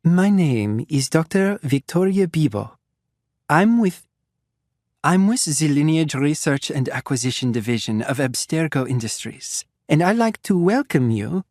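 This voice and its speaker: A refined woman scientist with a slight French accent, courteous and smoothly reassuring, as a male audiobook narrator puts her on. The voice sits a notch higher than the narrator's own, and the recording is close and dry. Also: sing-song, measured pace, a little mellow, no room sound. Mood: smooth reassurance. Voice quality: refined, polite, soothing.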